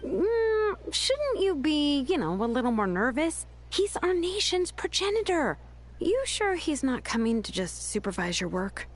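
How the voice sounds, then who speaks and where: A woman voicing a cheeky, casual young woman in a video game, a teasing and expressive friend. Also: high voice, unhurried, exaggerated voice.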